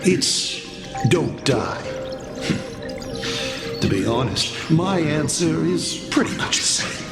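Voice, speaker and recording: An actor playing a smug, playful trickster villain in a video game, with a teasing, theatrical delivery. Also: male voice, on the high side. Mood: mocking. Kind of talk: dramatic video game voice acting. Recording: echoey, with loud music. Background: loud game music.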